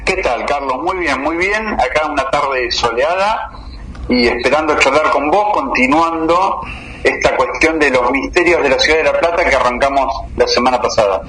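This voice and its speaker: A man in his fifties with a Rioplatense Argentine accent, a guest journalist telling local cases in a radio interview, an unhurried and detailed storyteller. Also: medium pitch, background noise. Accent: Rioplatense Argentine Spanish. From Buenos Aires, Argentina.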